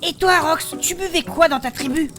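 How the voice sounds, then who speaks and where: A woman voicing a composed, well-travelled adventurer in a fantasy comedy audio drama, informative and gently teasing. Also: very high voice, moderate pace. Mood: curious. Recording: music behind the voice.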